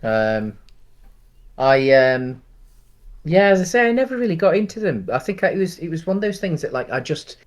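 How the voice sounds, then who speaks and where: A man's voice: a little high, sing-song, normal speed. A man, chatting casually as a podcast co-host and thinking aloud in a rambling, hesitant way. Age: late 30s. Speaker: podcast co-host reviewing films. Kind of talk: casual chat.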